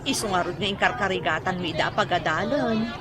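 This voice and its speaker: A woman's voice: fairly low pitch, brisk, crowd noise, natural voice. A woman playing a nagging, proud, hardworking mother with an Ilocano accent in a radio comedy drama. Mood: plaintive. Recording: music behind the voice.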